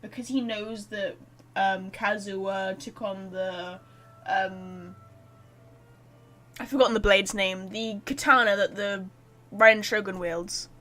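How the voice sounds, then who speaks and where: A woman game streamer giving casual, irreverent live commentary in an expressive, sweary way. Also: medium pitch, slow.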